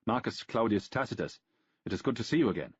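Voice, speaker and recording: A man voicing a calm northern officer. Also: on the low side, quick pace, husky, no room sound, lo-fi sound, pitched above the performer's own voice. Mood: courteous. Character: composed northern barbarian officer.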